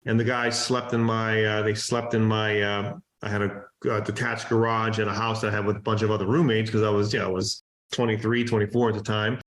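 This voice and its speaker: A man in his forties from Hawaii, a festival promoter telling his story in a relaxed, rambling way with many fillers and restarts. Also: fairly low pitch, fairly quick. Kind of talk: casual interview storytelling. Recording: roomy echo.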